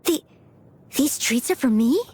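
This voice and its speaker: A woman's voice: high-pitched, animated, unhurried, darkish tone, slightly rough, full character voice. A woman voicing a polite, self-effacing young maid and aspiring knight in a video game, eager to please. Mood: flustered, eager. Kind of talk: video game character voice lines.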